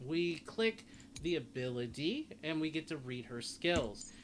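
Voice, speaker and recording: A man with a slightly high voice talks through a game guide in a casual, rambling way. He often restates his points as he goes. There is some noise behind him on the recording. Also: sing-song, unhurried. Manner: rambling, repeats and rephrases himself. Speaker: gaming video host. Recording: clearly noisy home recording.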